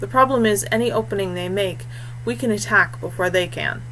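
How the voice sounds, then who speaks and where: A woman voicing a calm, practical young male warrior with a wry streak, a loyal brother in a fantasy story. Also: mid-range voice, brisk, a little hiss or hum, lightly acted, low-quality recording.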